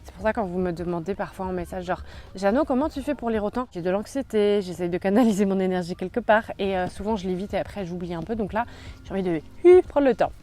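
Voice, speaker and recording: Fast-talking chatty young woman. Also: medium pitch, dark tone. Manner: chatty, rambling, many fillers. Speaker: book YouTuber. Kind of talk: casual vlog chat.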